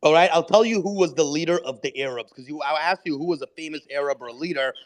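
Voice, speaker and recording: A man's voice: a little high, fast, poor audio. A man arguing defensively in a heated live online debate, pressing his points by repeating himself. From Israel. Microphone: online voice chat mic.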